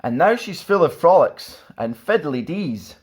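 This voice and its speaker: A young man reads a children's picture book aloud as a storytime host. His delivery is expressive, with a very varied melody and an unhurried pace. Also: a little high. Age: young adult.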